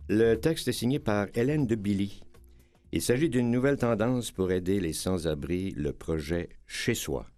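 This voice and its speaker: Low-voiced radio host. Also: male voice, expressive, moderate pace, darkish tone, faint music behind. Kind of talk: reading magazine articles on air.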